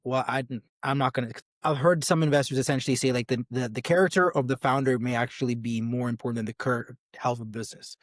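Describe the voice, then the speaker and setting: A man's voice: mid-range voice, fairly even intonation, fast talker, few pauses, no room sound, clean studio sound. A man hosting a podcast interview, asking questions in an easygoing way, with lots of fillers. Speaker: podcast host. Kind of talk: casual interview talk.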